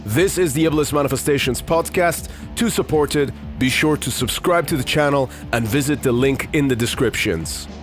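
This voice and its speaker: A man's voice: medium pitch, normal speed, few pauses, faint music behind. Iranian-born man hosting a podcast. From Iran. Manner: candid, rambling with fillers. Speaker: podcast host. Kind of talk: casual solo podcast talk.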